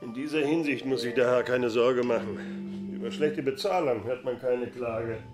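A man's voice: mid-range voice, normal speed, lightly acted. A man acting an obliging innkeeper over music. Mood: reassuring. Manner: obliging, polite. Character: deferential village innkeeper reassuring a gentleman guest. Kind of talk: German literary radio drama acting. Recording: music behind the voice.

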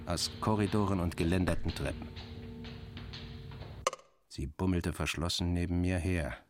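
A man reading a literary satire as a dramatic audiobook narrator, expressive and theatrical, acting out the dialogue. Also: low-pitched, a little slow, music in the background.

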